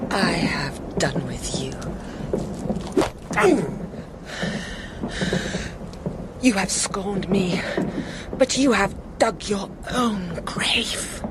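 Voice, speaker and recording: A woman's voice: mid-range voice, slow pace, heavy background noise, natural voice. A woman playing a ruthless, whispering noblewoman. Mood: menacing. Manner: commanding, dropping to cold whispers. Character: imperious, seductive, ruthless noblewoman. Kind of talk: radio drama acting. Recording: radio play with loud storm sound effects.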